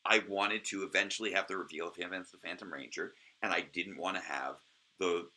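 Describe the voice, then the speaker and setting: A man with a naturally low voice, hosting a casual solo commentary with frequent ums and restarts. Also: bright, forceful tone. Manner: hesitant, full of ums and restarts. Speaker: host of a review series.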